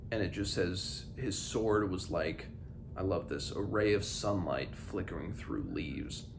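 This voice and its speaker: A man with a low-pitched voice talks through a book as a read-along host, reading and commenting informally. His phrasing comes in hesitant starts and stops. There is clear background noise in the recording. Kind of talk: book discussion with read-aloud passages. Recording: clearly noisy background ambience.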